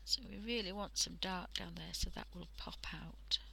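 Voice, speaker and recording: A woman with a croaky voice from a cold, chatting casually through a drawing tutorial on a headset mic and often dropping into half-whispers. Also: on the low side, moderate pace, sharp tone. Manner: chatty, thinking aloud, drifting into half-whispers. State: hoarse from a cold. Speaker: art livestream host.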